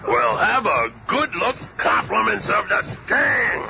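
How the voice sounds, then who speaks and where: An actor playing a gruff, short-tempered rocky monster hero with gravelly, slangy, blustering talk in a 1970s radio drama. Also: male voice, high-pitched, huge pitch swings, slow pace, raised voice, heavily acted. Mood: angry. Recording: noisy lo-fi 1970s radio recording.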